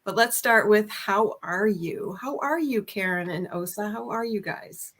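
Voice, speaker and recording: A woman hosting an online interview over a video call, chatty and quick to laugh, with frequent ums and uhs. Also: medium pitch. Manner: warm, laughs often, filler-heavy. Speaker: host.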